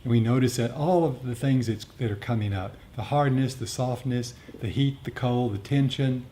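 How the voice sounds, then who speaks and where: A man teaching meditation in a talk with questions and answers, speaking in a halting, reflective, explanatory way. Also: warm tone. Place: group meditation gathering. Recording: rumbly background noise.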